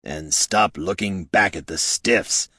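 A man voicing a gruff, scarred old mercenary captain with a raspy voice, barking orders in a fantasy story. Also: low voice, slow, bright, forceful tone, no room sound, studio quality, heavily acted. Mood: stern. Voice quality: gravelly, raspy.

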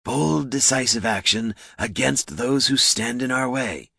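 A man voicing a smug, ruthless businessman in a fantasy audiobook, lecturing deliberately. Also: mid-range voice, creaky, bright, forceful tone, breathy edge, dry recording, clean studio sound, voice pushed up, heavily acted. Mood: cool, assertive. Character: smug, ruthless male company boss, coolly lecturing.

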